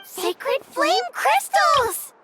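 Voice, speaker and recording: A woman voicing a tiny, curious, childlike companion creature in a video game, squeaky, wide-eyed and eager. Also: very animated, very slow, pushed and bright, faint music behind, full character voice, rough recording. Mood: amazed, curious. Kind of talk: cute video game character dialogue.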